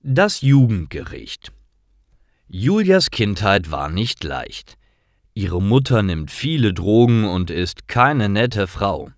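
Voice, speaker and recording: A man reading simple scripted stories aloud in a clear, deliberate manner, as a storyteller for language learners. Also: fairly low pitch, big pitch swings, dry recording, studio quality.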